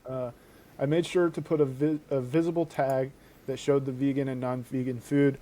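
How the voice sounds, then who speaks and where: A man's voice: mid-range voice, little pitch movement, normal speed, warm tone. A man co-hosting a podcast in an informal, conversational way. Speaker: podcast co-host. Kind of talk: casual chat and reading posts aloud.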